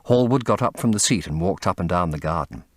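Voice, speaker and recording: A man's voice: low voice, animated, brisk, no echo, studio quality. A man reading literary fiction as a scripted audiobook narration.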